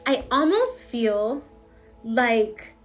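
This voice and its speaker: A woman's voice: a little high, very animated, very slow, faint music behind. A woman giving a casual, rambling tarot reading with lots of fillers, her voice stuffy from a cold. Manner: chatty, rambling, frequent fillers. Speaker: tarot reader.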